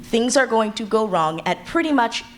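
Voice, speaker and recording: A woman's voice: a little high, expressive, normal speed. A woman speaking as a panelist at a live event, giving candid, encouraging advice in casual talk. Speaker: audio drama creator, panelist. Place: live convention panel before an audience. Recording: live panel with background room noise.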